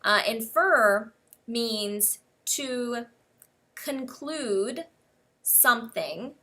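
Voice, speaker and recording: An American woman teaching English one-on-one, explaining words slowly and spelling them out letter by letter. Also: fairly high pitch, bright, forceful tone.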